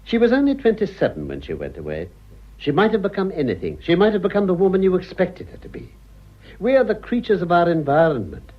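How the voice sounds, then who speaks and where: An actor playing a worldly, sardonic old English gentleman with polished manners, in a radio drama. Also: sits high, wildly swooping pitch, fast talker, exaggerated voice. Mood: scornful. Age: older. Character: cynical, urbane old English gentleman, amused and cutting. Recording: muffled radio recording.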